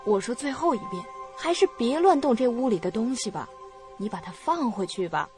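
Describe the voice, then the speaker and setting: A woman's voice: on the high side, lively intonation, a little fast, music in the background, lightly acted. A woman playing a frightened, pleading young woman in an audio drama. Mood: annoyed, uneasy. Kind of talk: Chinese audio drama acting.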